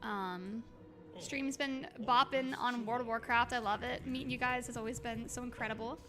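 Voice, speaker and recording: A woman chatting casually on a game livestream, expressive and affectionate, reading messages aloud, with a slightly hoarse voice. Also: fairly high pitch, moderate pace. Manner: expressive, exclaims often, gushes affectionately. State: slightly hoarse, by own account. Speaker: livestream host. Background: music.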